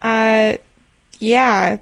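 A woman's voice: a little high, fairly even intonation, drawn-out words, vocal fry, dry recording. A woman talking informally on a call, as a customer asking for help. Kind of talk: informal phone conversation.

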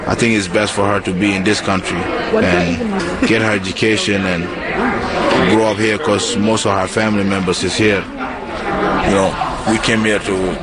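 A young man, his Acholi, talking in a relaxed and thoughtful way. His voice sits fairly low. Loud crowd chatter fills the background of this field recording. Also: a little slow. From South Sudan. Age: 20s. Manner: relaxed, reflective, frequent "you know". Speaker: interviewee, cosmetology student. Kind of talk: casual interview talk.

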